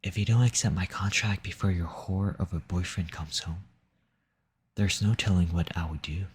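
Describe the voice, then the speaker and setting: A man playing a possessive, seductive demon in an intimate audio roleplay, speaking formally in a breathy, coaxing, menacing way. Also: deep voice, normal speed. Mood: commanding, smooth. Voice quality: husky, breathy, often dropping into whisper. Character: possessive seductive male demon, silver-tongued and domineering.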